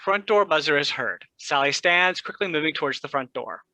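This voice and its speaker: A man reading stage directions aloud in a brisk, matter-of-fact way. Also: on the high side, animated, pushed and bright, no echo. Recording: dry close recording.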